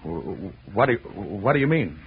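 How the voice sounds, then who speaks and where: An awestruck, fearful man in a vintage radio horror drama. His voice is deepish and swings widely as he stammers out urgent questions. The old 1940s recording is muffled with faint noise. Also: sing-song, moderate pace. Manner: stammering, urgent, breaking off phrases. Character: awestruck, frightened man desperate to know.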